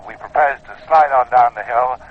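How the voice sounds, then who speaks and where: A man's voice: medium pitch, moderate pace. A man on an expedition giving an unscripted, matter-of-fact, explanatory account on location. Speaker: expedition member. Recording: thin phone-like field recording, faint noise.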